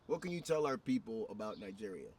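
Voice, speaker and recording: A man from Ghana hosting a casual interview for his vlog, excitable and profane, often cutting in. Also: fairly low pitch, fast. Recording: distant mic with background noise.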